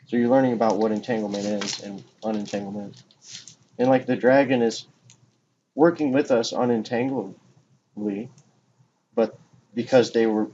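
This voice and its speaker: Low voiced guy rambling about spiritual stuff, kinda repeats himself, muffled audio w noise behind. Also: mellow. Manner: rambling, circling back over phrases. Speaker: talk show co-host. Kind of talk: casual spiritual discussion. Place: informal recording by a fire. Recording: muffled lo-fi, clear background noise.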